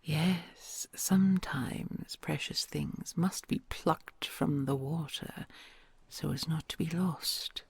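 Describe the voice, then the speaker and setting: A woman plays a huge ancient dragon queen, kind and doting, who speaks in a hushed, very breathy murmur full of gravelly fry. She draws out her words slowly and teases her human gently. The sound is dry and close, with no room around it. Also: deep voice, dry recording, in a whisper, exaggerated voice. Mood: tender, teasing. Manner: soft hushed storytelling, affectionate and gently teasing. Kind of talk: ASMR audio roleplay.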